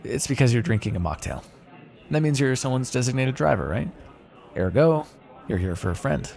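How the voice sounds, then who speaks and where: A man acts out a male vampire for an ASMR roleplay, flirtatious and wry. His voice has a gravelly fry and moves up and down in a very lively way. He talks casually and teasingly, with a sardonic, faintly threatening edge. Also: mid-range voice, moderate pace, soft background music. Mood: playful, teasing.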